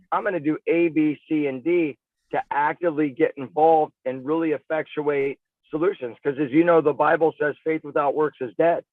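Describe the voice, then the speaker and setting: A man with a somewhat high voice gives his opinions as an interview guest in casual, emphatic talk. He sounds very band-limited, like a phone or small radio, with hardly any room sound. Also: no echo, phone-quality sound.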